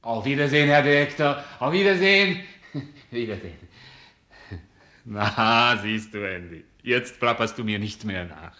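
A man's voice: medium pitch, lively intonation, measured pace, bright, forceful tone. An actor playing a simple, good-natured male zoo keeper in a radio drama, talking with coaxing familiarity. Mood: fond, teasing.

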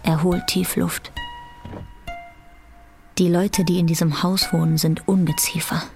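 A woman's voice: fairly low pitch, level tone, a little slow, gravelly, a little mellow. A woman reading scripted narration for a German radio drama. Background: guitar music.

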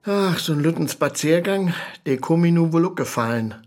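A man's voice: fairly high pitch, expressive, moderate pace, darkish tone, faint music behind, close to an everyday voice. A man playing a grumbling reluctant actor. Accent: Low German.

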